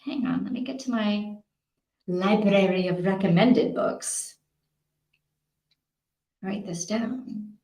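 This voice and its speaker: A woman hosting a casual livestream, recorded in a roomy, echoey space. She talks at a middling pitch in an expressive, chatty way. She thinks aloud and reacts with interest as she addresses her viewers. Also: takes it slowly, pauses often. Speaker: host of a live reading-sprint stream.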